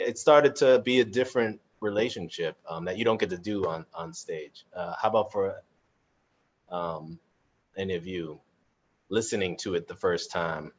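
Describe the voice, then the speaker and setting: A man casually moderating a panel chat, informal and halting as he thinks aloud. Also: fairly low pitch, muffled recording. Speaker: cast member moderating a live audience Q&A.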